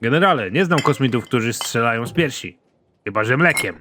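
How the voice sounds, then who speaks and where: A man playing a gruff, trigger-happy, hard-drinking space soldier in an animated comedy, with a crude, deadpan, grudging delivery. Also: mid-range voice, a little fast, very noisy, lightly acted. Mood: dry, stubborn.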